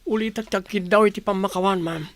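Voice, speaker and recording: A contrite young man in a Filipino radio drama. His voice sits high for a male, with an Ilocano accent and a pleading, apologetic manner. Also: steady tone, quick pace, a bit bright. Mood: pleading, remorseful. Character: remorseful young man pleading for forgiveness after wrongdoing.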